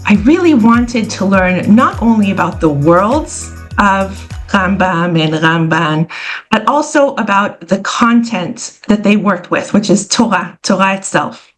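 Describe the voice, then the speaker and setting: A woman scholar answers interview questions at a middle pitch, explaining things clearly and patiently. Faint music plays behind her. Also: expressive, measured pace. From born in Israel, raised in the United States. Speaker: scholar and guest.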